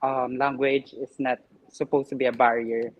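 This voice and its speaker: A man with a Filipino accent, a pageant candidate whose first language is Tagalog, answering earnestly with some halting. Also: medium pitch, little pitch movement, poor audio. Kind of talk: interview answers.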